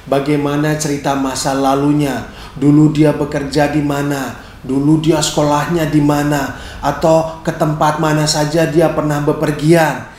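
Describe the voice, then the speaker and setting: A middle-aged man presenting instructions to camera in an expressive, clearly phrased storyteller's delivery. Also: fairly high pitch, measured pace. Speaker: storyteller and caregiver giving a tutorial. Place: small bright living room, indoors, seated on a sofa. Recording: echoey room.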